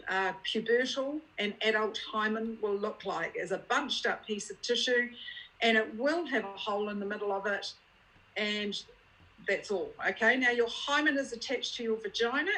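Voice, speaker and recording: A woman's voice: medium pitch, a bit bright, poor audio. A woman with a New Zealand accent, originally from Scotland, giving an educational talk as a clinician, plain-spoken and checking that listeners follow. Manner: explanatory, plain-spoken, uses props and checks understanding. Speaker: guest speaker, child abuse unit clinician.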